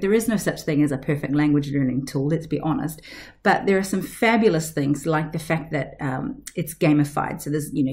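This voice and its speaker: A woman's voice: sits low, dark tone. A woman in her forties, a language teacher hosting a podcast, chatting and explaining at home into a webcam, digressing with lots of fillers. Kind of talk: casual explanatory podcast talk. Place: living room at home. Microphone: webcam at desk.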